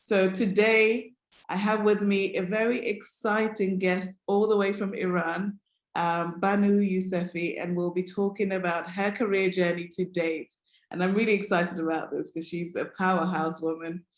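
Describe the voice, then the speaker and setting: A woman's voice: mid-range voice, level tone, normal speed, reverberant. A woman hosting a career interview series, upbeat and effusive with praise for her guest. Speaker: interview host.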